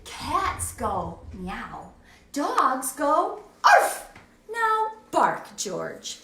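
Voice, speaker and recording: A woman's voice: high voice, huge pitch swings, very slow, sharp tone, reverberant, far from the mic, full character voice. A woman voicing a patient but insistent mother dog in a picture book, firm and sing-song as she corrects her pup. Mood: coaxing.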